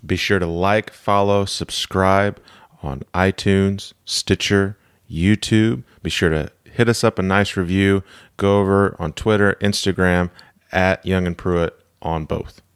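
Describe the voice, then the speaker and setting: A man's voice: deep voice, fairly even intonation, a little slow, clear, resonant tone. Nasal-sounding man. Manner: chatty, inquisitive. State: nasal from allergies. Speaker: podcast co-host. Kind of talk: casual podcast chat.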